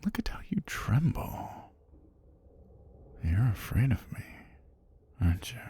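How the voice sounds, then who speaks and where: An ancient, sinister serpent god, played by a man, speaks in a dark, very husky murmur for a fantasy ASMR roleplay. He takes his time, with formal and deliberate phrasing that swoops and dips. He sounds languid, amused and quietly menacing. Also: low-pitched, dry recording, exaggerated voice. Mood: softly menacing. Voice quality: husky, breathy, gravelly.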